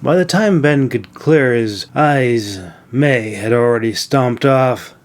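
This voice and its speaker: A man's voice: medium pitch, takes it slowly. A man reading his own fantasy novel as scripted audiobook narration.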